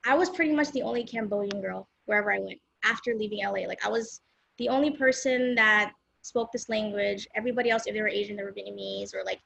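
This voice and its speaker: A young woman from Los Angeles chats as a guest, her voice heavily creaky with vocal fry. She rambles easily, full of "um" and "like", in a dry, close sound with no room around it. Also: medium pitch, fast talker, no room sound, dull audio. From South Central Los Angeles, USA. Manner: relaxed, rambling, with many fillers like "um" and "like". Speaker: guest singer-songwriter. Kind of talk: casual interview chat.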